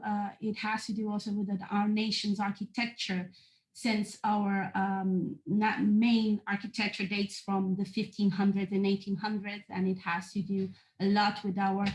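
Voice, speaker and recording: A woman from Portugal with a Portuguese accent, presenting in a webinar at a measured pace with frequent hesitations. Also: medium pitch, echoey room, poor audio. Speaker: university international relations officer presenting.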